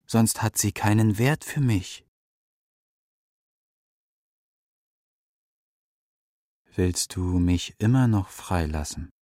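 A slow, deep, solemn eagle. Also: male voice, dark tone, no room sound, clean studio sound. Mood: reproachful, stern. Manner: solemn, deliberate, grave. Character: wise, proud, captured male eagle who talks. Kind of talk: German children's radio drama acting.

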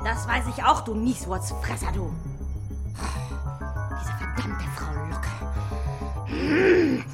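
Imperious, swooping villainess boss. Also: female voice, on the high side, sing-song, drawn-out words, creaky, long pauses, over loud music, full character voice. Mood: angry, impatient. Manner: theatrical, scornful, commanding. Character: imperious, short-tempered female boss villain. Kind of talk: children's radio play acting.

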